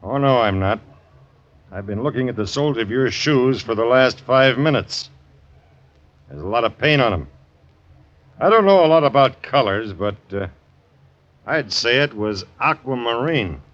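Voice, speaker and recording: An actor playing a dry, dogged police detective in a 1950s radio drama, questioning plainly and deliberately. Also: male voice, mid-range voice, animated, a bit bright, natural voice. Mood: dry, insistent. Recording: dull old radio recording.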